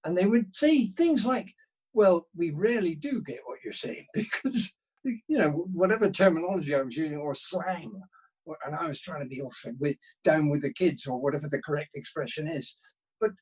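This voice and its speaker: Husky, higher-pitched British man chatting. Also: expressive, normal speed, rough recording. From United Kingdom. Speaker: writer, interview guest. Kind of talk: casual conversational interview.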